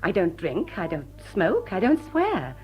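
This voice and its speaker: An actress playing a gracious, charming society hostess in a period stage comedy, speaking politely and coaxingly with refined manners. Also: female voice, medium pitch, huge pitch swings, measured pace, darkish tone. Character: gracious, charming society widow hosting guests, self-deprecating.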